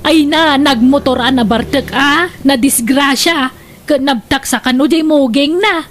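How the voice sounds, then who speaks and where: A woman's voice: sits high, a little slow, fairly bright tone. A Filipino woman playing a chummy, inquisitive village gossip in a comedic radio drama, chatty and full of interjections. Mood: worried. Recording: faintly noisy radio drama track.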